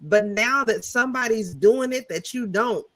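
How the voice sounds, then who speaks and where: A woman with a bright, sharp-edged voice gives blunt opinions while reviewing a reality show. Her pitch swings widely as she argues her point, and she talks directly to the audience in a loose, casual way. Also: expressive, normal speed. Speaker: podcast co-host.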